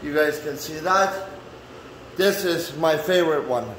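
A man from Canada chatting casually to camera as he shows his workspace, in a halting, folksy way with non-standard phrasing. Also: fairly high pitch, fairly bright tone. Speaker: vlogger and handyman host. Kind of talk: casual walk-through chat to camera. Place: indoors in a man cave workshop. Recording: echoey room with light background noise.

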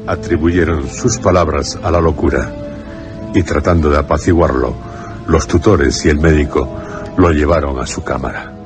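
Very deep-voiced solemn male narrator. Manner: grave, measured. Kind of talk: solemn scripted radio horror narration. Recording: loud music under the voice.

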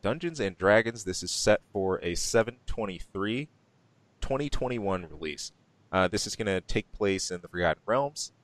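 A man with a very deep voice runs through movie news on a podcast, rambling and restarting often. The sound is close and dry, with no room around it. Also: fairly bright tone, no echo. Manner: rambling, many ums and restarts, laughs often. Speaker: podcast co-host. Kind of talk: casual podcast chat about movie news.

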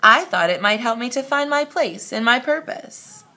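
Woman voicing earnest young storybook zero, bright. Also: a little high, expressive, normal speed, pitched above the performer's own voice, full character voice. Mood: hopeful. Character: shy, earnest young male number zero.

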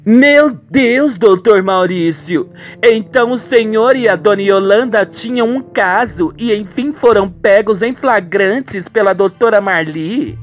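Man putting on a high melodramatic young woman. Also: a little slow, faint music behind. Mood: shocked, conspiring. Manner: melodramatic, exclamatory. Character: young woman, tender, scheming or gossipy, melodramatic.

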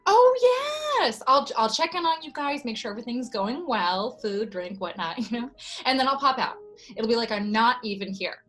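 A woman's voice: on the high side, lively intonation, a little fast, a bit bright, soft background music. A woman playing a perky, breezily intrusive waitress in a video-call comedy sketch, with chirpy customer-service politeness. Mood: breezily polite. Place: indoors, in front of a curtain, on a video call.

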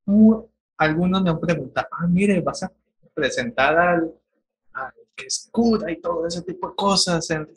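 A man hosting a video call interview, asking long, halting questions. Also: mid-range voice, fairly bright tone, slightly rough, low-quality recording. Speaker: interview host. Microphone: video call webcam.

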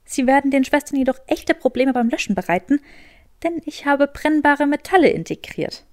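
A woman's voice: high-pitched, expressive, moderate pace, no echo, studio quality. A woman voicing a clever, earnest and determined young witch, explaining eagerly in an audiobook. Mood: eager, explanatory.

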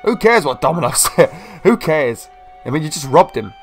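A young man with a slight cold, casually commentating a video game walkthrough in an excitable, chatty way. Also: a little high, moderate pace, soft background music. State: slightly ill, sniffly. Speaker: gameplay video host. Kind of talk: casual let's-play commentary.

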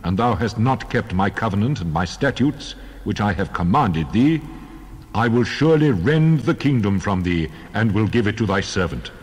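God in a bible drama, huge reverb, slightly husky, stern authoritative male voice. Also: expressive. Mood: stern judgment. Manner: solemn, deliberate, authoritative pronouncement. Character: God of Israel, solemn commanding male divine voice. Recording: booming long hall reverb.

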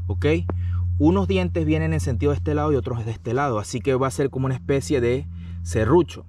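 A man's voice: mid-range voice, darkish tone. Man reviewing gear, a bit distant. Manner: explanatory, often checks in with "ok?". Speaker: product reviewer, channel host. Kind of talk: casual hands-on gear review. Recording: distant mic, faint background noise.

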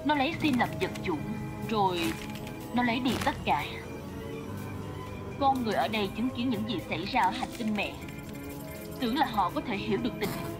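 A woman's voice: a little high, animated, a little fast, long pauses, lightly acted. A woman voicing a confident, breezy big-sister leader in a dubbed cartoon. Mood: serious, explaining. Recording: music under cartoon dialogue.

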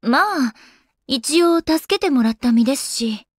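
A woman's voice: high voice, lively intonation, measured pace, no room sound, studio quality. A woman voicing an elegant young goddess in a video game, with polite, ladylike, composed speech. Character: elegant young goddess, refined lady and avid gamer. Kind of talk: Japanese video game character voice acting.

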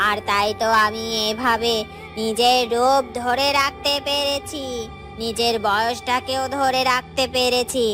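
A woman's voice: a little high, fairly bright tone. A woman voicing a sinister, boastful witch in a fairy-tale cartoon, with a commanding, self-satisfied manner. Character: sinister boastful witch who worships dark powers.

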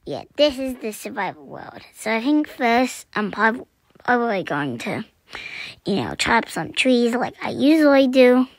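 A young woman chatting through a survival game, her voice scratchy this morning, clearing her throat and often dropping to a whisper. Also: a little high, very animated, a little slow, no echo. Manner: chatty, self-deprecating, often drops to a whisper. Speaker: gaming channel host. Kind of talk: casual gameplay commentary.

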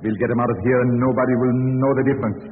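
An actor playing a domineering, impatient, cold-blooded professor with a brusque manner in 1940s radio style. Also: male voice, medium pitch, level tone, racing, breathy edge, natural voice. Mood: impatient, menacing. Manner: brusque, commanding. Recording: scratchy, tinny, echoing 1940s radio recording.

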